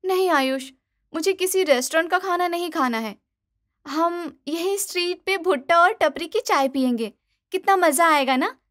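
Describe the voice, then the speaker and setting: A woman plays a young bride in a story cartoon, high and girlish in pitch. She is stubborn and playful, coaxing her way to what she wants. The recording is dry and close. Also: moderate pace, a bit bright, no room sound. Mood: playful insistence. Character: stubborn, playful young newlywed wife who loves corn. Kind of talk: animated Hindi moral-story dubbing.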